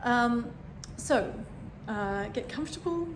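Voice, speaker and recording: A woman with a slightly croaky voice, gently talking through a meditation and often pausing to find her words. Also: a little high, animated. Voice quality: croaky, frog in throat. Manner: gentle, rambling, searching for words. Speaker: meditation teacher. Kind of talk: guided meditation talk. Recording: echoey room with background noise.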